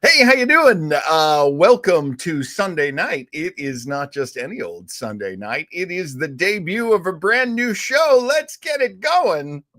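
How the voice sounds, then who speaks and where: A man co-hosting a casual livestream chat, talking in an excitable, exclamatory way. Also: high voice, dry recording, studio quality. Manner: excitable, exclamatory, quick to laugh. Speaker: show co-host.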